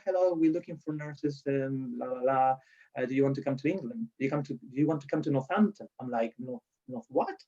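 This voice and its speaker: A man's voice: mid-range voice, dark tone, lo-fi sound. A man from Spain with a strong Spanish accent, chatting in an interview and telling stories with restarts and laughs. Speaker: lecturer being interviewed. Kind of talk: casual interview.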